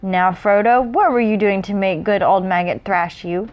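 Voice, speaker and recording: A woman's voice: medium pitch, moderate pace, dull audio, close to an everyday voice. A woman voicing a prim but affectionate young hobbit woman, an elder cousin, in storybook dialogue. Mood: prim scolding. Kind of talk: read-aloud storybook dialogue.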